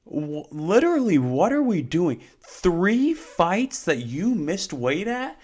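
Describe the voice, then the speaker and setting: Slow-talking young man hosting a fight show. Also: very animated, a little mellow. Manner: exasperated rants with rising pitch; many fillers, unhurried delivery. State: slightly hoarse from yelling. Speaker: host. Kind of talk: solo sports talk show.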